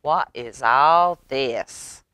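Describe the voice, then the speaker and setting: A woman voicing a warm, playful storybook mother, folksy and gently teasing. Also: extremely low pitch, extremely slow, smooth, no room sound, heavily acted. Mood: astonished. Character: warm, playful storybook mama, surprised and loving.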